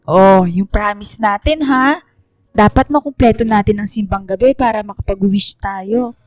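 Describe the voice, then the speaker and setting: A woman playing a sweet, sentimental young girl with a girlish, expressive way of talking in a radio drama. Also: medium pitch, moderate pace, no echo, studio quality, natural voice. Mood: excited, chummy. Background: music.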